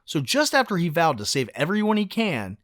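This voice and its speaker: A man's voice: a little high, huge pitch swings, a little fast, a bit bright. A man narrating a film recap casually, with a wry, sarcastic, joking delivery.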